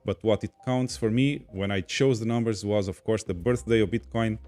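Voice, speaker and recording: A man's voice: on the low side, fairly even intonation, moderate pace, dark tone. A man from Portugal with a Portuguese accent, casually hosting a livestream and reading viewer comments aloud. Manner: chatty, reads viewer comments aloud, laughs easily. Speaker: podcast host reading chat.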